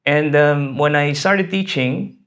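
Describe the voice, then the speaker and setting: A man's voice: a little high, takes it slowly, a bit bright. A middle-aged man from the Philippines, an academic being interviewed, talking in a hesitant way with many fillers and restarts. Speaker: interview guest, history academic. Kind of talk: conversational interview.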